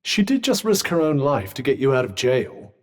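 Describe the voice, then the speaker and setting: A man's voice: mid-range voice, big pitch swings, normal speed, lightly acted. A man playing a sardonic, haughty talking magical vambrace in a video game, with a British accent and a dry, wry, pompous manner. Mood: matter-of-fact, urging. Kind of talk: video game companion dialogue.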